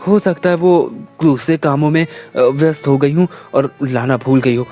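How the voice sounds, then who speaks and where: Caring village son, cartoon drama, highish male voice by a male actor, earnest and halting, music underneath. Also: warm tone, natural voice. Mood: calm, helpful. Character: dutiful, caring adult son in an Indian village. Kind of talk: Hindi cartoon story voice acting.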